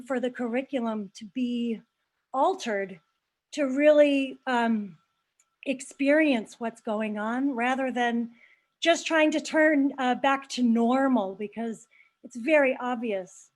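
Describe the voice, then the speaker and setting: A woman calling in to a school town hall and politely asking an earnest question. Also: high-pitched.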